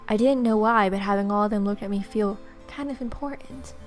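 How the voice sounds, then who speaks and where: A woman's voice: mid-range voice, brisk. Young woman reading aloud over game music. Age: young adult. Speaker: let's player. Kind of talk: reading visual novel narration aloud.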